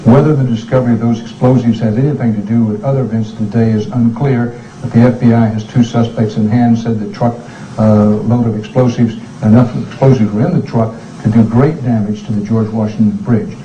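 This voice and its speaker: A man's voice: mid-range voice, steady tone, a little fast, mellow. A man working as a news anchor, delivering a breaking news report. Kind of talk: late-night news report. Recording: dull roomy archive broadcast, faint noise.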